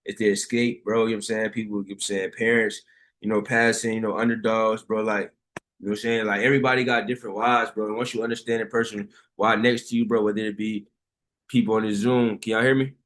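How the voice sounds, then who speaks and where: A man's voice: on the low side, little pitch movement, quick pace, fairly bright tone. A young man leading a casual video call, talking in laid-back slang with short affirmations. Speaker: session host.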